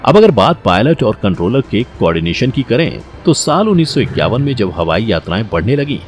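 A man reading a scripted documentary-style explainer, talking straight to the listener in an explanatory way. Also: medium pitch. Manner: explanatory, addresses the listener directly. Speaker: narrator of an explainer video. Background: background music.

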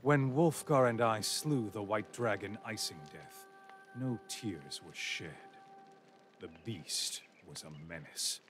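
Deep-voiced man narrating a fantasy game. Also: music in the background. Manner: grave, measured storytelling. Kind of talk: scripted narration.

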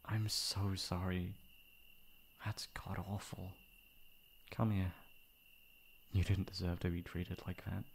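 A man playing a caring, protective young best friend in an intimate ASMR roleplay, with a soft, breathy voice. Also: fairly low pitch, a little hiss or hum. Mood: tender, comforting. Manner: intimate, comforting, casual. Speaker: voice actor.

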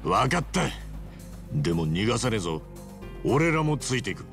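Casting: a deep-voiced, earnest middle-aged street hero for a game drama, music underneath. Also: male voice, big pitch swings, normal speed, fairly bright tone. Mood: firm, concerned. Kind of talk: dramatic video game cutscene acting.

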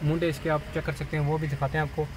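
A man's voice: on the high side, flat delivery. A young man in a salesman's patter, showing viewers around a used van for sale outdoors while filming on a handheld phone. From Pakistan-administered Kashmir. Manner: brisk salesman patter, addresses viewers directly. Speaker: used car dealer vlogger. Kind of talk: walkaround vehicle review. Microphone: handheld phone camera at arm's length. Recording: noisy outdoor phone recording.

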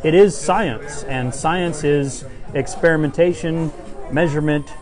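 A man's voice: on the high side, unhurried. A man in his thirties, a brewer, explaining his craft in a casual chat as a guest. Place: busy brewery taproom, indoors. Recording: music and bar crowd behind. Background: music, crowd chatter.

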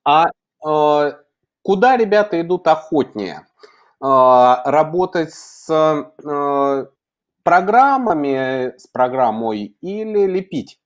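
Middle-aged male interviewer, fairly high voice, careful halting questions over a video call. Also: animated. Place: indoors, small room, video call.

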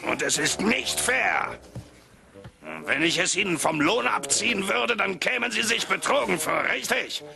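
An actor playing a cold, scornful, ill-tempered old miser in an animated film, with curt, sneering delivery. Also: male voice, high voice, measured pace, vocal fry, music in the background, heavily acted. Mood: grudging, indignant. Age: older.

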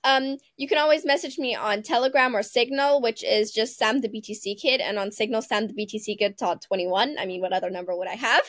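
A woman's voice: fairly high pitch, fairly quick, few pauses, a bit bright, no room sound, clean studio sound. A teenage girl with a mixed international English accent, chatting as a guest over a video call and laughing often. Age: teens. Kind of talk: casual interview. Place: home room.

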